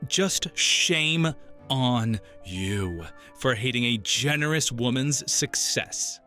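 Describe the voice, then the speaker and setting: A man's voice: medium pitch, lively intonation, slow, pushed and bright, soft background music. A man voicing an indignant, scolding internet commenter in an emphatic, accusatory reading. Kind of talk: dramatized reading of online comments.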